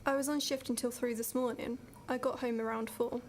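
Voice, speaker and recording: A woman plays a guarded female suspect in an amateur radio drama. Her voice is high, and she keeps her answers terse. A faint noise sits behind the recording. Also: fairly even intonation, a little fast, lightly acted. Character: guarded female hospital worker suspected of murder.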